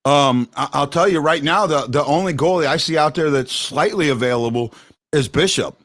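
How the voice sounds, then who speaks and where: A man hosting a fan talk show, loud and excitable, rambling and restarting his sentences as he argues his point. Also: on the high side, moderate pace. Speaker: podcast host. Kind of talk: heated hockey fan call-in talk. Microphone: headphones.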